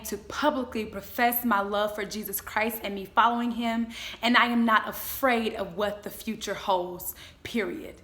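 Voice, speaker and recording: A woman in her twenties giving a motivational faith talk, speaking in an emphatic, exhorting way with deliberate repetition. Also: mid-range voice, a bit bright. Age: 20s.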